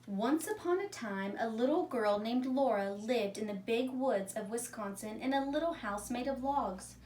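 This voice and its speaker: A woman's voice: a little high, lively intonation, moderate pace, a little mellow. A woman teacher reading a story aloud to young children, using simple wording and putting questions to her listeners. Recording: echoey room, distant mic, faint noise.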